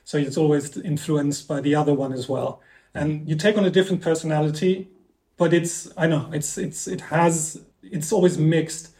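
A man with a fairly high voice, whose first languages are Dutch and German, asks long, searching questions in a relaxed chat. His speech meanders through ums and restarts. The room around him rings noticeably. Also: moderate pace. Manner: inquisitive, winding questions with many ums. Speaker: podcast co-host. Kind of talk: casual music discussion. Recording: echoey room.

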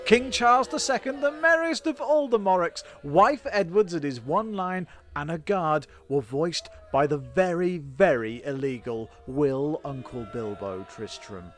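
A man's voice: a little high, expressive, measured pace, hardly any pauses. Theatrical British man hosting comedy. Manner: playful, theatrical, mock-grand delivery. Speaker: comedy podcast co-host. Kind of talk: silly scripted comedy narration.

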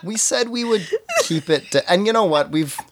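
A man player in a tabletop game podcast, chatting casually with a lively, teasing manner. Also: high voice, background noise. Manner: lively, quick to tease. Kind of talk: casual chat.

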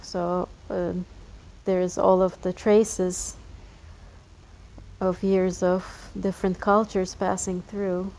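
A woman interviewer in a casual conversation, asking relaxed, inquisitive questions. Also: lowish voice, slow pace, creaky, rough recording.

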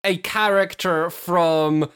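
A man co-hosting a podcast in casual discussion, with halting, deliberate phrasing. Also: high-pitched, fairly even intonation, a bit bright, clear, resonant tone.

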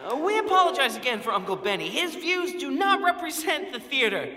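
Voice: high voice, very animated, brisk, sharp tone, exaggerated voice. An actor playing an emphatic, apologetic theater announcer in a comic audio show. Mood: apologetic, proclaiming. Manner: emphatic, proclaiming. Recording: echoey hall.

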